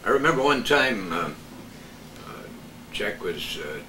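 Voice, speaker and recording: An older man telling casual radio stories in a halting way, searching for words. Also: fairly low pitch, very animated, bright, forceful tone. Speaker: veteran radio broadcaster reminiscing as a guest. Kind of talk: casual storytelling. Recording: echoey room, distant mic, background noise.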